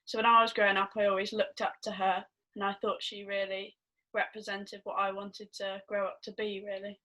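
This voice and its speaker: A woman's voice: medium pitch, little pitch movement, a little fast, pushed and bright, low-quality recording. A young woman, a footballer giving modest, brief interview answers on a call. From Somerset, England. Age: young adult. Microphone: video call.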